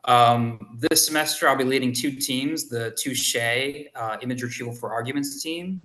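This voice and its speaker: A man's voice: mid-range voice, pushed and bright, roomy sound. A man introducing himself casually as a team lead on a video call, upbeat with filler words.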